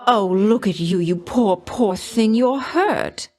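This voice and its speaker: A woman's voice: mid-range voice, lively intonation, slow pace, darkish tone. A woman voicing a flirtatious, vain and jealous goddess of love in a video game, speaking in coquettish, condescending endearments with a veiled threat underneath. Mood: cooing concern.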